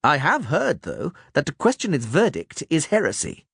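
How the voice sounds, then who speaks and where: A man playing a calm, coldly ironic barber surgeon in a fantasy audiobook, speaking in a measured, mocking way. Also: a little high, vocal fry, slightly rough, no room sound, clean studio sound, voice pushed up, full character voice. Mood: cool irony.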